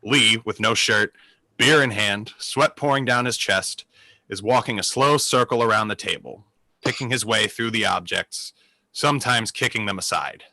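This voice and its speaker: A man's voice: lowish voice, normal speed, bright, forceful tone, dry recording, rough recording. A man narrating a play, reading out its stage directions as scripted narration.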